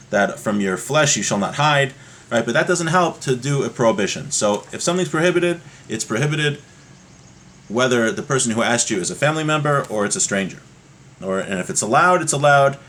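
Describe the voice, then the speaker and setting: A man's voice: mid-range voice, fairly bright tone. Man teaching an informal religious class. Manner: conversational, explanatory, checks in with "right?". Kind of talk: informal religious lesson, reading Hebrew text and explaining it in English.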